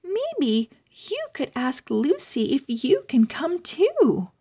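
A woman voicing a polite young girl owl in a storybook, gentle and apologetic in manner. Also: sits high, huge pitch swings, slow, full character voice.

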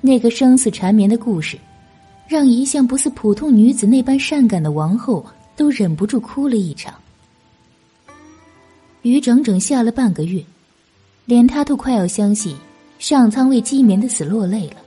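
A woman's voice: on the high side, animated, normal speed, mellow, slightly rough, faint music behind. A woman narrating an audiobook novel in a scripted, dramatic storytelling style.

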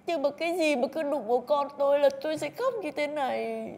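A tearful, distraught mother, played by a woman, cries and pleads in a high voice. The room rings with long reverb and a faint hum of background noise. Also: brisk, a little mellow. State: crying. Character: distraught mother who livestreams, weeping over her child.

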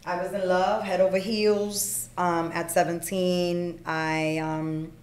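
A middle-aged woman born in Puerto Rico, a podcast guest telling her life story frankly, with plenty of ums and you-knows, on a desk boom mic in a small studio. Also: little pitch movement, slow pace, a little mellow, echoey room. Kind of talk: candid life story in conversation. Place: small studio room with acoustic panels.

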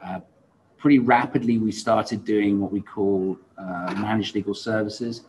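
A man's voice: on the low side, measured pace, darkish tone, slight background noise, poor audio. A man in his forties speaking casually as a podcast host in conversation. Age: 40s. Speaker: podcast host and law firm founder. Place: indoor room, seated.

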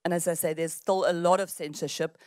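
A woman's voice: on the low side, fairly even intonation, fairly quick, darkish tone, no room sound, studio quality. A woman in her sixties from South Africa whose first language is Afrikaans, speaking thoughtfully with frequent restarts as an author on an outdoor festival panel, into a handheld mic. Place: outdoor festival stage among trees. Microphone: handheld microphone.

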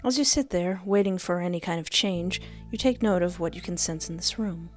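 A playful, expressive woman doing a let's play, reading game text aloud and commenting, close on a desk microphone. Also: lowish voice, brisk. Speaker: gaming streamer. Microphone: close desk microphone with headset. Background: game music.

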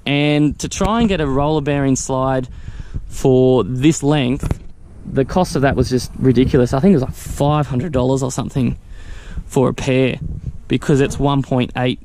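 A man casually walking through his vehicle camping setup, explaining in a relaxed, practical way with fillers. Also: medium pitch, unhurried. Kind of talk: casual walkthrough vlog. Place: outdoors beside a vehicle in a grassy field. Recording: faint wind noise outdoors.

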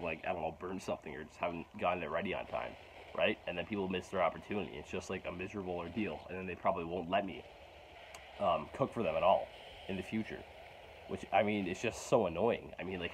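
Deep-voiced teen guy vlogging outdoors. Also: a little hiss or hum, rough recording. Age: teens. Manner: frustrated, halting, many restarts and fillers. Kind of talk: casual rambling vlog talk. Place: outdoors in a garden. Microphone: phone camera at arm's length.

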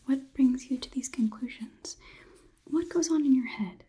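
A young woman whispering an ASMR roleplay close to the mic as a coolly logical robot overlord, in a halting, clinical, deliberate way. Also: sits high, dark tone. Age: young adult. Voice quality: breathy, unvoiced whisper. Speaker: ASMR performer. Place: small indoor room. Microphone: close microphone.

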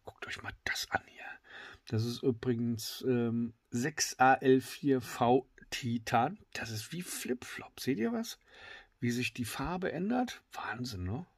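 A middle-aged man with a slightly congested voice, reviewing a knife in a casual, filler-heavy way that often drops to excited whispers. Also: medium pitch, slow pace, slightly rough, dry recording, studio quality. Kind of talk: casual tabletop product review. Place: indoors at a wooden table.

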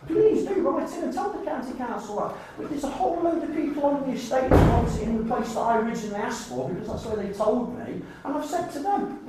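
English bloke at a council meeting, insistent, mellow tone, really echoey and distant with a bit of noise. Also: male voice, very high-pitched. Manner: insistent, aggrieved. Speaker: town councillor. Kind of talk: impromptu meeting speech. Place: indoor council meeting room. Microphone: distant camera microphone. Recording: echoey room, distant mic, faint noise.

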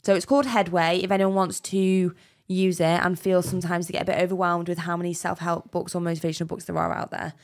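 Woman, podcast co-host, casual chat, rambling, lowish voice, studio mic. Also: little pitch movement, quick pace. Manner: chatty, rambling, trails off mid-thought. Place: small podcast studio, indoors. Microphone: studio mic on arm, headphones.

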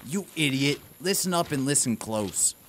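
Gruff, bossy male petty crook , acted by a man, mid-pitched, impatient, audio theater, slight noise. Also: lively intonation, moderate pace, lightly acted. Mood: bossy, scornful. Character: bossy, irritable male small-time crook. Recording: faint background noise.

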